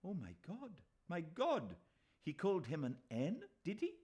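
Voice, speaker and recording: A man's voice: sits high, huge pitch swings, measured pace, a little mellow, husky, voice pushed up, heavily acted. A male reader voicing an anguished young man who cries out in distress. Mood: shocked. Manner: crying out.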